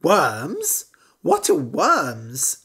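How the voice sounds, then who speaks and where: A woman voicing a curious, picky little male frog who talks brightly. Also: low-pitched, huge pitch swings, drawn-out words, vocal fry, breathy edge, exaggerated voice.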